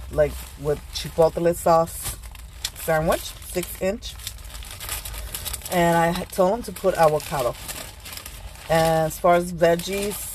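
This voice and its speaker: A middle-aged woman vlogger with a slightly hoarse voice from a cold, chatting casually and rambling while she eats lunch in her car. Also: sits low, darkish tone, slight background noise, poor audio. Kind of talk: casual chat.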